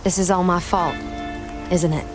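Woman as an earnest, low-voiced ranch niece. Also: steady tone, normal speed, music in the background, close to an everyday voice. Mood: guilty, downcast. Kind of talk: dramatic video game cutscene acting.

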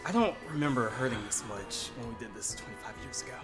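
An actor playing a wry male fairgoer in a scripted comedy sketch, with deadpan asides. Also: mid-range voice, fast, over music, lightly acted.

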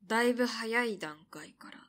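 A woman's voice: a little high, lively intonation, normal speed, a bit bright. A woman voicing a devoted tomboyish young girl in a voice drama, blunt yet tender. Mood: casual, knowing. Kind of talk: Japanese voice drama acting.

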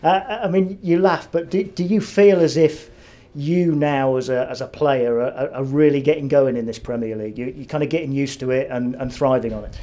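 A man's voice: medium pitch, steady tone, moderate pace, warm tone. A man interviewing a player after a match, asking conversational questions that end in tag questions. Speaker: club TV interviewer.

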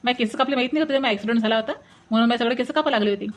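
A woman's voice: fairly high pitch, racing, faint music behind, close to an everyday voice. A woman voicing a blunt, indignant village neighbour in a comic cartoon, scolding in a Varhadi Marathi accent. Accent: Varhadi Marathi from Vidarbha.

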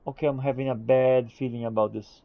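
A man giving expressive, exclamatory in-character gameplay commentary, thinking aloud as he roleplays. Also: medium pitch, brisk, no room sound, phone-quality sound. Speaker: gamer roleplaying as a character in a playthrough.

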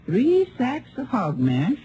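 An actress playing a chatty, sure-of-herself feed store clerk with a rural American accent in an old radio western. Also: female voice, on the high side, wildly swooping pitch, slow, dark tone, slight background noise, exaggerated voice, poor audio. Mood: helpful, certain. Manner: folksy, emphatic. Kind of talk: 1950s radio western acting.

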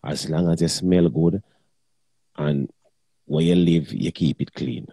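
A man's voice: low voice, slow, mellow, husky, no echo. A middle-aged man, talking bluntly and crudely in patois in a casual chat.

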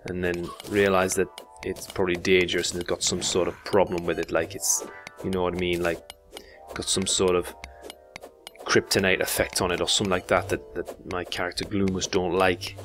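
A man's voice: low voice, little pitch movement, normal speed, a bit bright, faint music behind. A man from England giving rambling, casual commentary while playing a video game, swearing now and then. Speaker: gaming YouTuber. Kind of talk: casual let's play commentary.

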